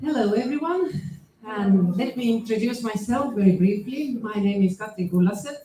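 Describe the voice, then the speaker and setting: A woman from Estonia with a very dark, mellow voice gives an academic conference talk in English, often halting on fillers and restarting her sentences. The sound carries a roomy echo from a lecture hall. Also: medium pitch, lively intonation, slight background noise. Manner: hesitant, many fillers and restarts. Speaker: associate professor, conference speaker. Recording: echoey hall.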